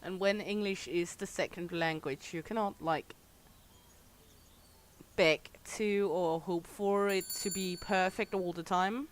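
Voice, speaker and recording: A woman's voice: fairly low pitch, steady tone, a little slow, a little hiss or hum. A middle-aged Danish woman with a thick Danish accent, chatting candidly with her livestream chat while playing a game. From Denmark. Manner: chatty, candid, reads and answers chat aloud. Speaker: streamer.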